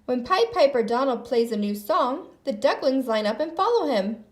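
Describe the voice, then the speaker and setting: A woman reading a children's story aloud in an expressive narrating style. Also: high voice, normal speed. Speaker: storybook reader.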